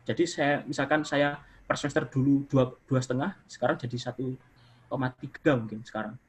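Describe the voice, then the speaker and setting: A man's voice: mid-range voice, fairly quick, husky. A young man, a university student, chatting casually as a guest on a video call, trailing his sentences with fillers. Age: young adult. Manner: relaxed, many fillers and trailing "gitu".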